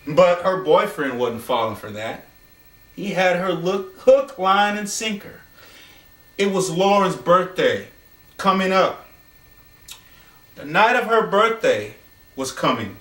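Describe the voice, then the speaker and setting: A man telling an improvised story, with dramatic, deliberate phrasing and pauses. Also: sits high, lively intonation, a bit bright. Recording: roomy echo, distant from microphone.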